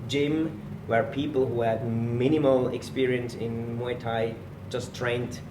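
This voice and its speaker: A man's voice: medium pitch, slow, distant mic, noisy background. Man telling his story, roomy sound. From Switzerland. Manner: relaxed storytelling, slightly non-native phrasing. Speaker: guest, personal trainer. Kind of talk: casual podcast interview. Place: gym.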